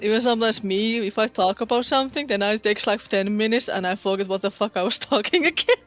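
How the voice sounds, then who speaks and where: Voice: mid-range voice, fast talker, a bit bright, faint music behind. A person with a Finnish accent chatting casually as a guest on a stream, loose and laughing. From Finland. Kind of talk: casual chat.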